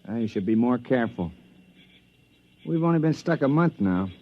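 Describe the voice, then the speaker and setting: An actor playing a cautious, practical, worried companion on a stranded expedition, in mid-century radio drama style. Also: male voice, medium pitch, expressive, normal speed, lightly acted. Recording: dull vintage radio recording.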